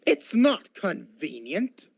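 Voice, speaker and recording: A man's voice: high-pitched, wildly swooping pitch, slow, gravelly, breathy edge, dry recording, lo-fi sound, exaggerated voice. A man playing a miserly, grumpy old businessman in a radio drama, curt and scornful. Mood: cold, grumbling.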